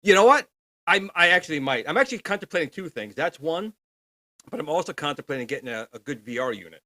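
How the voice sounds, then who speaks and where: Bright-voiced man in casual gaming chat. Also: a little high, expressive, fast, no echo. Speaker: panelist on a gaming news show.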